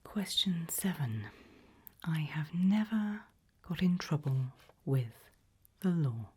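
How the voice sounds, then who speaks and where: A woman in an ASMR roleplay as a politely sinister HR interviewer, whispering and murmuring softly in a deliberate, measured way with pauses. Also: sing-song. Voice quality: breathy whisper with soft low murmurs. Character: calm, politely sinister HR interviewer at an evil organisation.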